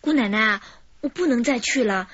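A woman's voice: fairly high pitch, moderate pace, fairly bright tone, slightly rough, dry recording. An actress playing a wary young woman from Anhui who acts as a cautious go-between in a radio drama. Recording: slightly dull broadcast audio with faint hiss.

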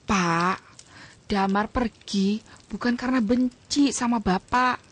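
Woman doing a soft earnest mom, breathy and a bit high. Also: takes it slowly. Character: gentle mother defending her son. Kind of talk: radio drama acting.

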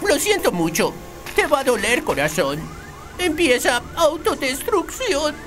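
A man's voice: very high-pitched, big pitch swings, a little slow, exaggerated voice. A man voicing an eccentric, melodramatic scientist in a cartoon, theatrical and fretful. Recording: loud music behind the voice. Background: loud music.

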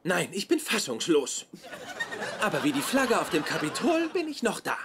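An actor playing a pedantic, self-important young scientist in a dubbed sitcom, with precise, lecturing delivery. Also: male voice, high-pitched, huge pitch swings, normal speed, very noisy, exaggerated voice. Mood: indignant. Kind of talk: dubbed German sitcom acting.

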